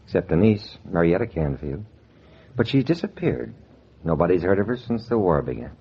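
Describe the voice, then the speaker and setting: An actor playing a cocky, smooth-talking American schemer in a clipped, slangy 1940s radio style. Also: male voice, sits low, very animated, moderate pace, heavily acted. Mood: sly, persuasive. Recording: muffled noisy 1940s radio recording.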